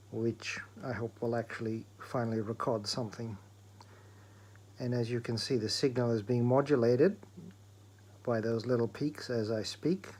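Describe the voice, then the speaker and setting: A man's voice: mid-range voice, darkish tone. A man presenting an electronics repair demonstration, explaining things step by step in an informal way.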